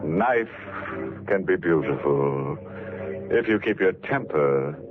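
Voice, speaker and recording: An actor playing a ghoulishly jovial horror show host, sardonic and drawn out, with macabre glee. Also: male voice, low voice, animated, slow. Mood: sly glee. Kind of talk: 1940s radio horror hosting. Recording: lo-fi vintage radio with music behind.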